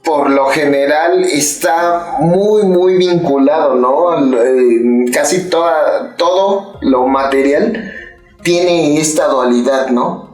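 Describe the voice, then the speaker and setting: A man with a Mexican accent talks things through slowly in a casual chat and keeps checking in with the others. His voice is on the high side. He sounds distant and roomy, with faint music underneath. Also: a little mellow. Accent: Mexican Spanish. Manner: reflective, drawn out with "¿no?". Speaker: podcast co-host.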